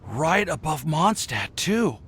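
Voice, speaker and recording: Earnest young game adventurer, kinda high voice, tentative, lively intonation. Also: male voice, slow pace, noisy background, exaggerated voice. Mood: wondering. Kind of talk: video game voice acting.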